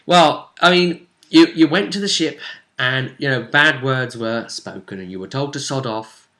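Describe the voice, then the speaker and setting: A man's voice: mid-range voice, moderate pace, bright, forceful tone. A British man speaking as game master, casually recapping a tabletop role-playing session and dropping teasing hints.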